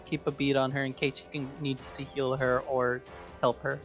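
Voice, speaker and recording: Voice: mid-range voice, little pitch movement, moderate pace, faint music behind. A player in a tabletop game, talking casually and asking about the rules. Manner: casual, asks rules questions.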